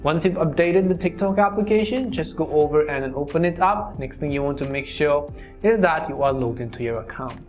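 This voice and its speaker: A how-to host with a mid-pitched voice narrates a scripted tutorial. The delivery is wordy and instructional, moving through things step by step. Music plays behind the voice. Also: animated, brisk. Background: background music.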